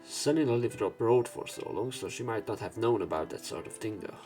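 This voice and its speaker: A man's voice: on the low side, brisk, a little mellow. A man reading visual novel text aloud as a let's play narrator, with casual asides. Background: visual novel game music and sound effects.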